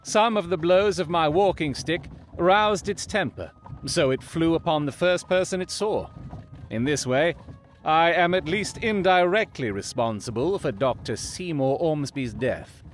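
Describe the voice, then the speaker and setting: An actor playing a brilliant Victorian detective in an audio drama, coolly analytical, with precise, theatrical, formal delivery. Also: male voice, a little high, animated, measured pace, slight background noise. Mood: grave, deliberate.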